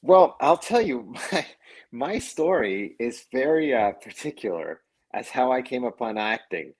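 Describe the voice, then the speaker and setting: Man from Mexico, husky voice, lively storyteller, acts out quoted voices, laughs often, interview guest. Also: mid-range voice, measured pace.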